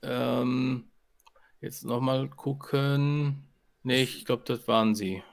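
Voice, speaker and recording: A man's voice: medium pitch, fairly even intonation, slow pace, creaky. A man co-hosting a podcast, giving his opinions in casual chat with a hesitant, searching delivery. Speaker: podcast co-host.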